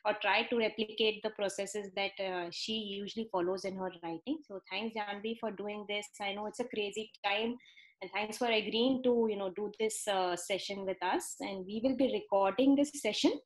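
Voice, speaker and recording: A woman's voice: medium pitch, steady tone, normal speed, low-quality recording. A woman whose first language is not English, hosting the session and introducing the guest speaker with many fillers. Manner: warm, thankful introduction with fillers. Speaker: session host.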